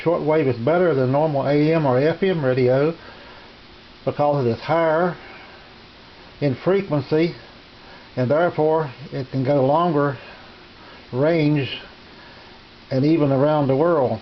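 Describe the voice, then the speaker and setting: A middle-aged man in his home radio shack, showing his shortwave receivers in a halting way with many fillers. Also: on the high side. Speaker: ham radio hobbyist demonstrating receivers. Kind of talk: casual home video demonstration. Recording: dull lo-fi camera audio with hiss.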